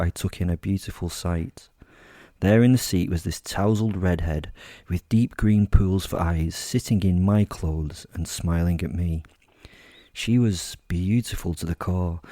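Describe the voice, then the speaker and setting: A man tells a horror story in the first person with a low voice. His tone is mellow and dark, his manner wry and brooding, and the sound is dry and close. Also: dry recording. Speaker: narrator of a horror audio drama.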